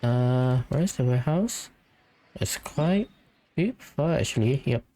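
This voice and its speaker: Casual, lively mid-pitched game player. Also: takes it slowly, slight background noise. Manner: offhand, musing aloud. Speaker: video game player, commentator. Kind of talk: casual let's-play commentary.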